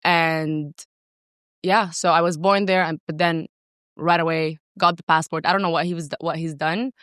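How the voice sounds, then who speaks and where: A woman's voice: low-pitched, steady tone, moderate pace, fairly bright tone, clear, resonant tone, no echo, clean studio sound. A woman from Saudi Arabia talking casually and self-assuredly as a guest in an interview, with emphatic delivery. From raised in Saudi Arabia. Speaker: podcast guest, entrepreneur.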